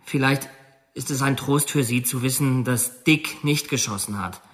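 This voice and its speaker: A man's voice: mid-range voice, moderate pace, a bit bright, close to an everyday voice. A remorseful young prisoner voiced by a man makes a confession in a radio drama. His voice sits in a middle register. He sounds earnest as he explains himself. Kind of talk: documentary radio drama monologue.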